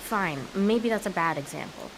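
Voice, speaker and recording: A woman's voice: medium pitch, animated, moderate pace, natural voice, low-quality recording. An actress playing an exasperated, sarcastic American daughter in her twenties in a comedic audio drama. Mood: weary, dry. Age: 20s. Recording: faint background hiss.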